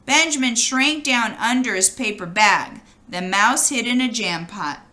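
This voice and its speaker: A woman reads a children's storybook aloud with lots of expression. Her pitch moves freely up and down in an animated way, and her tone is bright. She addresses the story to young listeners. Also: unhurried. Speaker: storybook reader.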